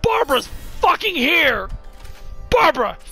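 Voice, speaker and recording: A gaming streamer with a strained, worn-out voice, yelling excited trash talk at an opponent during a card game. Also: sits high, unhurried, gravelly, soft background music. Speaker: gaming content creator. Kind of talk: excited gameplay commentary.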